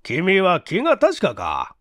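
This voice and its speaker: Male reader as middle-aged detective inspector, mystery audiobook. Gruff, unhurried, blunt old-fashioned phrasing, big swings in pitch. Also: wildly swooping pitch, a bit bright, no room sound, clean studio sound, full character voice. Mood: incredulous, irritated. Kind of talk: dramatized mystery audiobook reading.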